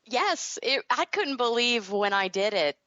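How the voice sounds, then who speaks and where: A woman's voice: on the high side, expressive, measured pace, sharp tone, no room sound. A woman from the American South chatting casually as an interview guest, talking like a gushing fan. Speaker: podcast guest, creator. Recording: dull, lo-fi, dry line.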